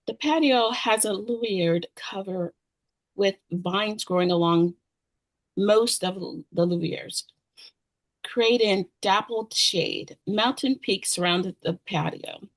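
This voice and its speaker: A woman's voice: mid-range voice, slow pace, rough recording. A woman narrating stage directions at a screenplay table read, sometimes stumbling over and repeating words.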